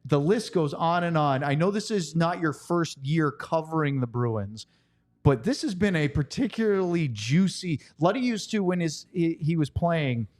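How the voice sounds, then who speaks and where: A man's voice: fairly high pitch, expressive, moderate pace, a little mellow. A man hosting a sports podcast, moving between conversational talk and sponsor reads.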